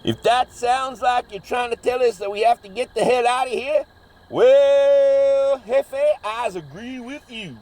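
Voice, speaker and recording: An actor playing an excitable, irascible backwoods monster hunter with a Southern US accent, folksy and bossy, in a comic audio drama. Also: mid-range voice, a little slow, lightly acted. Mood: folksy relief.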